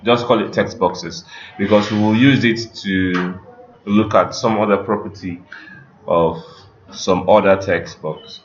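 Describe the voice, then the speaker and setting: A man giving a screen-share coding tutorial as a teacher, explaining step by step in a halting, instructional way. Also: on the low side, fairly even intonation, faint music behind. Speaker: computer teacher. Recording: muffled with background noise.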